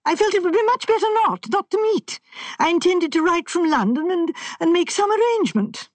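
A woman's voice: animated, moderate pace, a little mellow, dry recording. Woman voicing a frantic stammering young man. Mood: distraught. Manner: stammering, pleading, voice rising high. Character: frantic young male architect, highly strung. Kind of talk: audiobook character reading.

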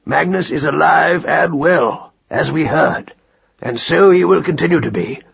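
A man's voice: a little high, measured pace, gravelly, breathy edge, muffled recording, pitched above the performer's own voice, heavily acted. A man voicing a kindly, sensible uncle rabbit who is plain-spoken and authoritative. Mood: firm, reassuring.

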